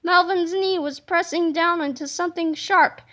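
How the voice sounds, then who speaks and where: High, bright woman reading a storybook. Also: normal speed. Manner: expressive storytelling delivery. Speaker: reader. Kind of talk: children's book read-aloud.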